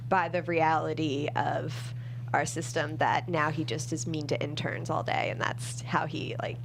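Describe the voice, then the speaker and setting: A woman with a slightly low voice gives a casual, self-deprecating interview as a writer. She is chatty and says "like" all the time. A faint hum and noise sit behind her. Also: steady tone, normal speed, vocal fry. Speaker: screenwriter being interviewed.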